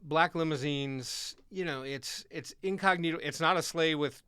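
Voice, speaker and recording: An American man playing Santa Claus as a kindly, chatty old man hosting a casual interview, gentle and reassuring. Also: a little high, normal speed, smooth, no room sound. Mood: chatty, fond. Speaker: podcast host.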